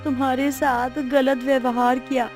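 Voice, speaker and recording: A woman voicing a sorrowful, self-blaming village woman in a cartoon moral tale, with slow, dramatic delivery. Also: high voice, flat delivery, a little mellow, faint music behind, natural voice. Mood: remorseful. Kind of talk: Hindi cartoon story voice acting.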